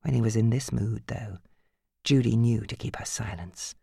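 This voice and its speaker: A woman narrating fiction from a script as an audiobook reader, with a measured, grave and dramatic storytelling manner. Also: a bass voice, gravelly, warm tone, breathy edge, no room sound, clean studio sound.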